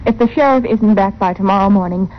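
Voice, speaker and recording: A woman's voice: medium pitch, quick pace, close to an everyday voice. An actress playing a troubled young frontier woman in a radio western, reserved and hesitant, with an American accent. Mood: resigned. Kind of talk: old-time radio western acting. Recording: muffled, noisy old radio recording.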